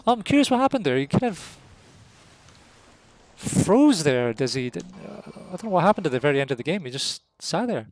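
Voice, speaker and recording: A Scottish man giving live, casual, excitable commentary on a competitive flight game match, voice jumping up at the big moments. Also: a little high, a little fast. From Scotland. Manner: excitable, rises sharply at kills; casual and jokey.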